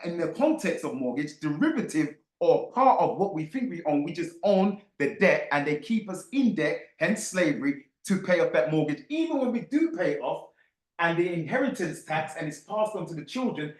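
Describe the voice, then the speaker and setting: High-pitched, animated man in an echoey room. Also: moderate pace. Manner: emphatic, insistent, repeats phrases for effect. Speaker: host of an online book club discussion. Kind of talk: animated live group discussion.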